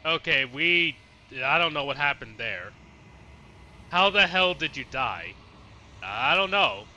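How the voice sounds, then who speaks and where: A man's voice: fairly high pitch, lively intonation, slow pace, sharp tone. A man giving casual, reactive commentary as he streams a video game, often exclaiming. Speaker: let's play streamer. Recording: faint background noise.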